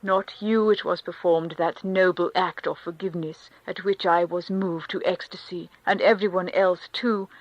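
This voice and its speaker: A woman's voice: on the low side, fairly even intonation, normal speed, few pauses, dry recording, lo-fi sound, close to an everyday voice. A woman reading a devout countess who consoles in a fervent, preaching manner. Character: devout rapturous aristocratic countess. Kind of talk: audiobook character reading.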